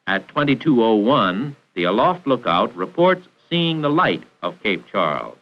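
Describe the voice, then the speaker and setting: A man's voice: mid-range voice, big pitch swings, measured pace, faint music behind. An American man reading scripted narration for a 1940s training film in a formal, precise, instructional manner. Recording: dull vintage 1940s film soundtrack.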